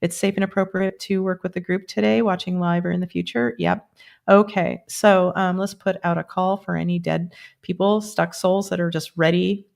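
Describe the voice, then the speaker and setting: A woman around sixty hosting a live stream, chatting casually and then guiding a group energy meditation with quick yes-or-no pendulum checks. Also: fairly low pitch, little pitch movement, vocal fry, a little mellow. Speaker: energy work host.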